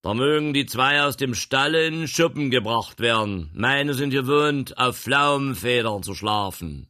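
Guy doing a big gruff bossy old grain trader, loud and pushy, barking orders, dry close sound. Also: medium pitch, lively intonation, a little slow, no room sound, voice pushed up. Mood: blustering. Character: domineering older male grain dealer, booming and gruff.

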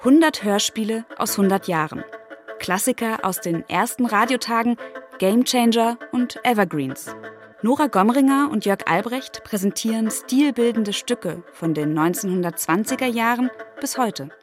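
Higher-voiced female trailer announcer. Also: expressive, normal speed, soft background music. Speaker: promo announcer. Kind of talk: scripted trailer narration.

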